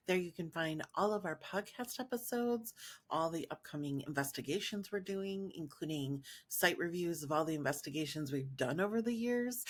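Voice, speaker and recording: A woman podcast host delivering scripted narration in an earnest way, with short dramatic phrases and emphatic pauses. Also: fairly low pitch, no room sound.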